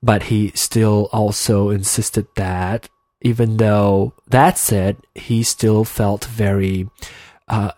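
A man's voice: on the low side, steady tone, slow pace, darkish tone, no room sound. Earnest Malaysian man talking on his podcast. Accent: Malaysian English mixed with American. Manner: earnest, hesitant, many restarts and fillers. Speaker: podcast host. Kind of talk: solo podcast monologue. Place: home room with a heater running.

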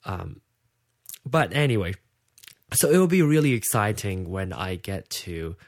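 A man's voice: low voice, animated, measured pace, dry recording, clean studio sound. A sleepy man with a Malaysian accent, a native Hokkien speaker, hosting a casual unscripted podcast in a hesitant, rambling way. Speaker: podcast host.

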